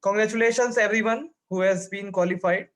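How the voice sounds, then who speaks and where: A man's voice: high voice, steady tone, brisk, fairly bright tone. A man leading an online club meeting on a video call, speaking politely with formal phrasing. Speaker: meeting toastmaster and general evaluator.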